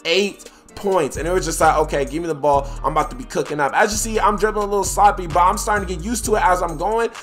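A man's voice: high voice, fast talker, few pauses, fairly bright tone, soft background music. A young man with a stuffed-up nose giving hyped, slangy commentary over his basketball video game footage. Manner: hyped, slangy, talks straight to viewers. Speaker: gaming YouTuber. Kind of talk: gameplay commentary.

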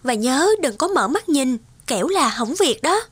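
A woman playing a gentle, devoted young fairy wife in a cartoon folktale, speaking earnestly. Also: sits high, very animated, moderate pace, background noise. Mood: calm, instructive. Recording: music behind the voice.

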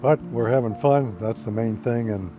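A man's voice: medium pitch, normal speed. An older man, a painter, casually explaining his work outdoors to a camera nearby. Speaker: painter being interviewed. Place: outdoors on a lawn. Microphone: camera a few feet away. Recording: background music over outdoor voice.

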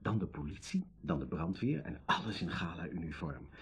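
A man's voice: low-pitched, very animated, moderate pace, slightly rough, full character voice. An actor playing an eloquent, sentimental dreamer in a radio drama, savouring his phrases theatrically. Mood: enraptured persuasion.

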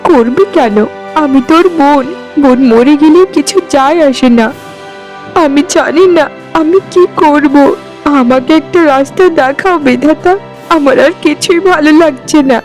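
A woman's voice: sits high, darkish tone, natural voice. A woman playing a sorrowful, unloved young orphan sister in a cartoon, speaking in a plaintive, earnest way. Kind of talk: Bengali cartoon voice acting.

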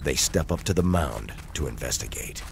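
A man's voice: very deep voice, animated, moderate pace, vocal fry, a little hiss or hum. A man reading scripted dramatic narration as the narrator of an audio drama.

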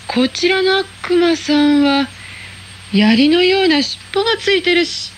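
A sassy teenage schoolgirl with a high, chatty voice and a quick, cheeky way of talking, as a woman acts her out. Faint background noise sits under the lines of this radio play. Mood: curious. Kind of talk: radio drama acting.

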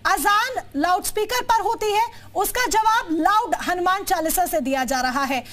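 High-pitched woman news anchor, pressing. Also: unhurried, fairly bright tone. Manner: pressing, insistent questioning. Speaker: news anchor hosting a debate. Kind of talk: live TV news debate questioning. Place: TV news studio.

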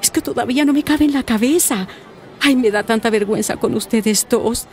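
A woman's voice: fairly high pitch, fairly quick, darkish tone, natural voice. An actress with a Colombian accent playing a distressed, ashamed mother in a radio soap, plaintive and sighing. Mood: anguished, ashamed. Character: distressed, ashamed working-class mother torn over her father. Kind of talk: radio soap opera acting.

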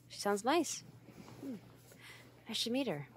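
Woman acting a slow-paced, flustered tsundere roommate. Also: on the high side, sing-song, slight background noise. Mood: softened, awkward. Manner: stammering, defensive, huffy, hesitant. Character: flustered tsundere female roommate, easily embarrassed, secretly fond. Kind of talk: ASMR roleplay acting.

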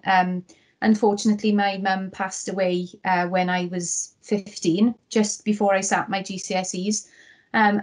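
A Welsh woman introducing herself as a panel guest on a video call, speaking sincerely with many fillers. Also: mid-range voice. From Wales. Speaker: charity marketing head, panel guest. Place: indoor room.

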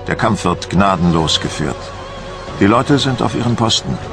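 An actor playing a proud, embittered French admiral, solemn and theatrical, in a dramatised documentary monologue. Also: male voice, lowish voice, expressive, normal speed, gravelly. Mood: grave, urgent. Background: music.

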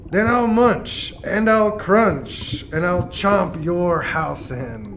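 A woman's voice: fairly low pitch, animated, takes it slowly, a little hiss or hum. A woman voicing a hungry, scheming male shark villain in a children's puppet show, with a menacing, theatrical delivery. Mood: grumpy, scheming.

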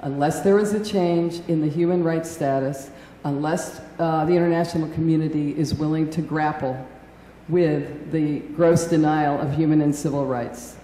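A woman in her fifties giving earnest, deliberate testimony at a congressional hearing, at a desk microphone. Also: deep voice, fairly even intonation, mellow. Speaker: witness testifying. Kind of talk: formal testimony. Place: large hearing room. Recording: echoey hall, faint room noise.

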